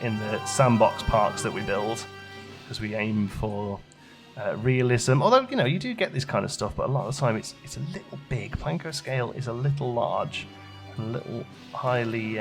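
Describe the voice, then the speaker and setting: Male let's play host, lowish gravelly voice, casual, chatty, thinking-aloud delivery. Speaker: gaming channel host.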